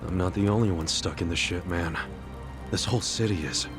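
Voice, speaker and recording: An actor playing a big-hearted, stubbornly optimistic ex-convict hero in a video game, with brash, slangy, earnest delivery. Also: male voice, low voice, fairly quick, over music, close to an everyday voice. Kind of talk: dramatic video game voice acting.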